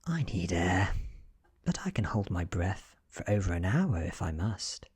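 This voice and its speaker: A British man narrates a story in soft ASMR style. His voice is deep and husky, often falling to a whisper. He reads at a slow, measured pace. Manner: hushed, often dropping to a whisper. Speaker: audiobook reader.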